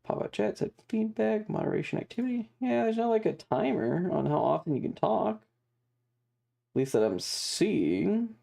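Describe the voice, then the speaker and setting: A livestream host talks casually through a game with plenty of swearing. The voice sits in a middle register, creaky and very animated in pitch, with music playing underneath. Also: measured pace. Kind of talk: casual gaming stream chat.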